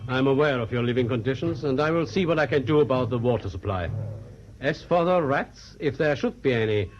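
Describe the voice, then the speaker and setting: An actor playing a formal, evasive ship's doctor with a precise, curt manner in a radio drama. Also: male voice, mid-range voice, animated, brisk, a little hiss or hum, close to an everyday voice. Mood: formal, guarded. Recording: dull lo-fi radio play, music behind.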